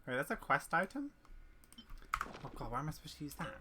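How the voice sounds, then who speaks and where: A woman's voice: low voice, big pitch swings, a little fast, background noise. A woman game streamer giving casual, playful play-along commentary, reacting aloud as she plays.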